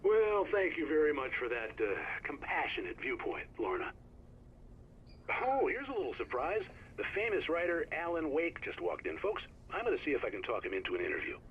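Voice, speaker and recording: An actor playing a genial small-town radio host in a video game, folksy and drily sarcastic. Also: male voice, on the high side, wildly swooping pitch, brisk. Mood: genial, wry. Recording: dull lo-fi radio sound, faint noise.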